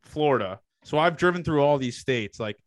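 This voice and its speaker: A man's voice: on the high side, animated, moderate pace, no room sound. A young man from Canada with a slight Canadian accent, hosting a casual podcast and firing off loose, swearing questions. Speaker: podcast host.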